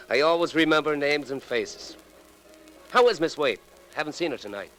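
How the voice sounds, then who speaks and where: An actor playing a talkative, nervous apartment doorman with a folksy, halting manner in a 1940s radio drama. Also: fairly high pitch, expressive, fairly bright tone, faint music behind, rough recording. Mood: chatty, friendly.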